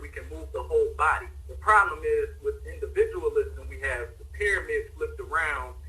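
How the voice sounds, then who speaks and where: A man with a bright, forceful edge to his medium-pitched voice speaks as a guest. He reasons aloud in unscripted, philosophical talk, circling back over his phrases as he builds the idea. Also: low-quality recording. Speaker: guest on a discussion show. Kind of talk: unscripted reflective discussion.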